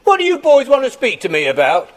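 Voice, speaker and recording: A man's voice: very high voice, huge pitch swings, fast, exaggerated voice. An actor playing a hostile young man with a curt, dismissive manner in a children's audio drama. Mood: suspicious. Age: young adult. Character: surly, hostile young man staying at a hotel.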